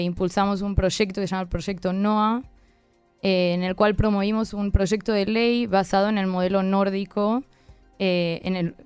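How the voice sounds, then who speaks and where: A woman in her thirties from Argentina, with an accent mixing Argentine and Spanish, explaining her work as a guest lawyer in measured, hesitant answers. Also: mid-range voice, clean tone, dry recording. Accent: mixed Argentine and Spanish. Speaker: interview guest, human rights lawyer.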